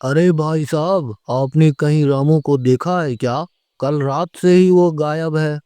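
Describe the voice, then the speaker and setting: A man's voice: fairly high pitch, measured pace, dark tone, clean tone, dry recording, lightly acted. A man playing a worried village politician in a horror cartoon, fretting and questioning as he goes. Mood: anxious. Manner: fretful, muttering questions to himself. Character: worried male village politician searching for missing guest. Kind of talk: Hindi horror cartoon voice acting.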